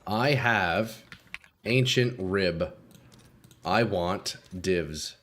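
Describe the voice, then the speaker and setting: A young man doing casual live commentary while playing a game, a bit congested and clearing his throat often, swearing and talking to chat. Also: low voice, very slow, a bit bright. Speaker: game streamer.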